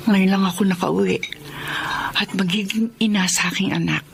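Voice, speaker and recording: A woman's voice: medium pitch, steady tone, normal speed, vocal fry, a little hiss or hum, lightly acted. An actress playing an eager, affectionate mother home from working abroad, earnest and longing for her daughter, in a radio drama. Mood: wistful.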